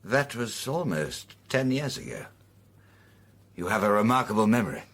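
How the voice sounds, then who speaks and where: Middle-aged amateur sleuth in radio drama: courteous, guarded, wry, lowish voice with very varied intonation. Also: male voice, very animated, fairly bright tone, heavily acted. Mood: polite, candid. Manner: measured, polite, wry. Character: courteous, guarded male amateur detective, a father.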